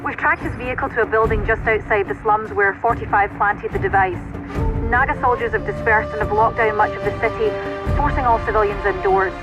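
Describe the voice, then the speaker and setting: A woman plays an efficient female operations officer. She reports in a crisp, formal, businesslike way at a medium pitch, with loud music behind her in a game cutscene. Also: a little fast, highs cut off, close to an everyday voice. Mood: focused briefing. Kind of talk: video game mission briefing.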